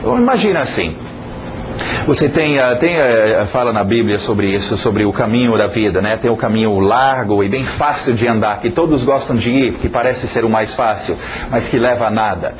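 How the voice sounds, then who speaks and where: A man's voice: medium pitch, expressive, normal speed. A man teaching an informal spoken lesson, explaining each point and checking in with a questioning "ok?". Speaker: English teacher. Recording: dull sound with faint hiss.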